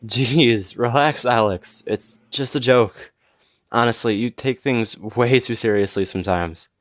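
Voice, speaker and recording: A man playing a smug, flirtatious businessman in an audio roleplay, teasing and smooth-talking with mock politeness. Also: medium pitch, a little slow, dry recording, clean studio sound, natural voice. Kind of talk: scripted audio roleplay acting.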